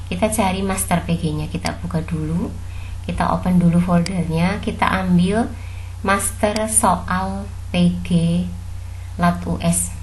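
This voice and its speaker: A woman with a Javanese Indonesian accent narrating a software tutorial step by step, instructing politely and repeating each step. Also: on the low side, slight background noise, rough recording.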